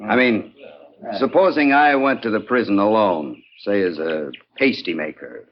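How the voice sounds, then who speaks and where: Man playing a low-voiced, persuasive outlaw spy. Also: animated, normal speed, natural voice. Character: soft-spoken, scheming male spy posing as loyal outlaw. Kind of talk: old-time radio drama acting. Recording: dull, faintly noisy old radio recording.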